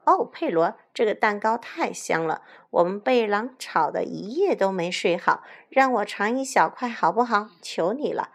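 A woman's voice: mid-range voice, animated, moderate pace, gravelly, few pauses. A woman voicing a greedy young chick who begs, in a children's storybook. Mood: begging. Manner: begging.

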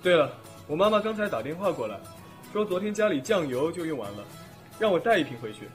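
A man playing a restrained, aloof eighteen-year-old high school senior in a radio drama. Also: very animated, quick pace, soft background music. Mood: calm.

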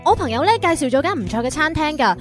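A woman's voice: high voice, expressive, fast, music in the background. A woman with a Hong Kong Cantonese accent playing a keen, easygoing, cheerful young woman in a radio drama.

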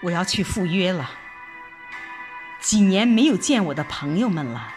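Female audio drama narrator, lowish pitch, very varied intonation, telling a first-person story with faint music behind. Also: big pitch swings, normal speed, a little mellow.

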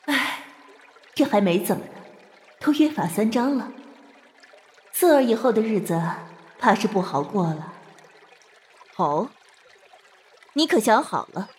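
A woman plays a middle-aged mother who rules a martial household in a period audio drama. Her husky voice swings expressively as she chides and sighs, measured yet indulgent. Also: a little high, lively intonation, full character voice. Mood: amused, relenting. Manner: measured, gently chiding, sighing. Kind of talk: Chinese costume audio drama acting.

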